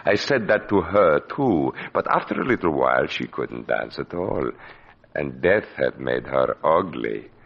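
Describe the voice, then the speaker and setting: Menacing mad puppeteer, wildly swinging delivery. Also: male voice, rumbling low, very animated, unhurried, vocal fry, full character voice. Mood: gloating menace. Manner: gloating, theatrical, erupting into rage. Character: menacing, mad puppeteer murderer, domineering brother. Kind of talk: 1940s radio thriller acting. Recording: noisy, dull vintage radio recording.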